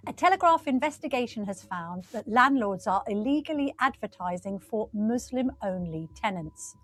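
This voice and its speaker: A woman reporter delivering a scripted news report in a clear, measured way. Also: on the high side, expressive, a bit bright. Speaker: newspaper reporter.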